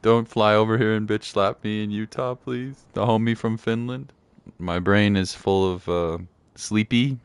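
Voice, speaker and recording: A man's voice: lowish voice, fairly even intonation, a little slow, smooth, dry recording. A man streaming a game, chatting casually in a slangy, jokey, rambling way. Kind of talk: casual livestream chat over gameplay. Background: game music.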